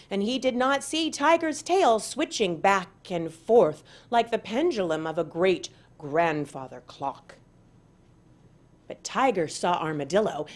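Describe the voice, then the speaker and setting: A woman reading aloud to children as a storyteller, with deliberate, dramatic emphasis. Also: medium pitch. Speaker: parent and school board member reading aloud.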